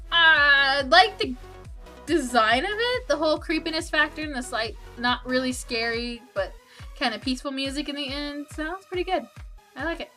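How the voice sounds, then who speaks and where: A woman's voice: high-pitched, bright, forceful tone. A woman hosting a let's play, chatting casually in an informal, rambling, playful way. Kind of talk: casual gameplay commentary.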